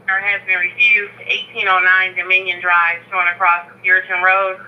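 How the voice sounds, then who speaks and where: A man's voice: sits high, monotone, moderate pace. A man working as an emergency dispatcher, reading out calls and codes procedurally over two-way radio. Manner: procedural, repeats codes and numbers. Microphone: radio heard through scanner. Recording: dull, lo-fi police scanner feed.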